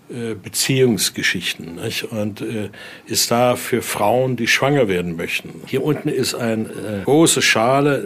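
An older man explains and tells stories like a tour guide, speaking spontaneously and often adding little questioning tags. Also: medium pitch. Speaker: museum guide and interviewee. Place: indoor exhibition room. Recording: clearly noisy room ambience.

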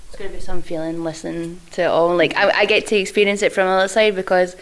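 A woman's voice: on the low side, flat delivery, quick pace. A woman joking and laughing through a casual interview as a guest. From Scotland. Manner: jokey, laughs often. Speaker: guest wrestler.

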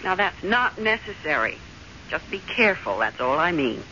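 A stubborn, house-proud American wife in a radio drama. Her voice is piercingly sharp and swings widely as she chides, clipped and exasperated. It comes through a muffled, noisy old recording. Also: fairly low pitch, big pitch swings, normal speed. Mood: chiding. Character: prim, stubborn, house-proud wife, quick to take offence.

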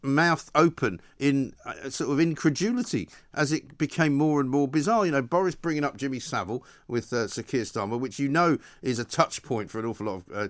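A man's voice: mid-range voice, no room sound. A man hosting a political talk radio chat in a sardonic, dry manner. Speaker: talk show host.

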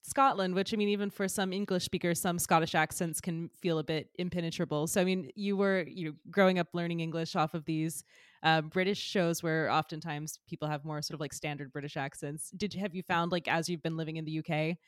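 Low-voiced woman podcast co-host. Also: steady tone, a little fast, dry recording, studio quality. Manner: reflective, asks questions, laughs often. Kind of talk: casual podcast chat.

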